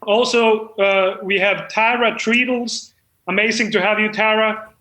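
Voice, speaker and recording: A man's voice: high voice, steady tone, measured pace, sharp tone. A man playing a deluded, eager amateur screenwriter who hosts a comedy show in an effusive, self-important manner. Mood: effusive, polite. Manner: effusive, self-important, stumbling when off script. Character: deluded, eager amateur screenwriter hosting his own reading. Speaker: host. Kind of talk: comedic monologue and mock talk-show hosting. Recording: roomy echo.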